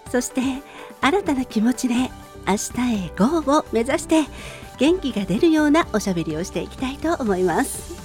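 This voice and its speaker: A middle-aged woman hosting a radio show, speaking politely in a gentle solo talk with personal stories. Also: high voice, lively intonation, normal speed. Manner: polite, formal phrasing with personal anecdotes. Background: faint music.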